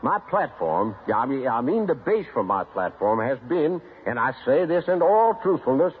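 A man's voice: medium pitch, big pitch swings, normal speed, husky, pitched above the performer's own voice. A man voicing a pompous, long-winded male politician who rambles and corrects himself. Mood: blustering. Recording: tinny phone-like sound.

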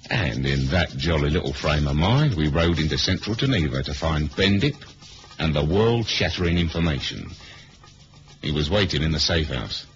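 Very deep-voiced, wisecracking British spy. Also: male voice, expressive, moderate pace, music in the background. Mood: wry narration. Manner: dry, sardonic, slangy. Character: world-weary, wisecracking male British spy. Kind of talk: 1970s radio spy drama, acting and first-person narration. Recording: muffled old radio recording, faint hiss.

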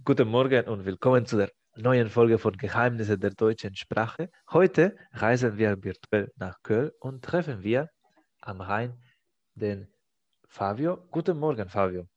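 A man hosting a language-learning podcast, speaking as a learner. He works through a mock exam talk, halting and searching for words. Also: fairly low pitch, lively intonation, darkish tone, highs cut off. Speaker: podcast host.

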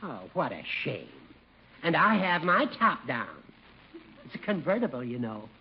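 Lively, high-voiced older judge. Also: male voice, moderate pace, slightly rough, full character voice. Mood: genial, boastful. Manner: chatty, fussy, theatrical. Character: genial, fussy older male judge, teasing friend. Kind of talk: 1950s radio comedy acting. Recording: muffled, noisy old radio recording.

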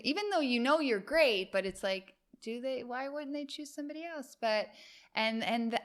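A woman's voice: medium pitch, a bit bright. Chatty American yoga instructor woman. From United States. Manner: chatty, with frequent fillers and restarts. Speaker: yoga and fitness instructor, guest. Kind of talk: casual interview chat. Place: home, Miami.

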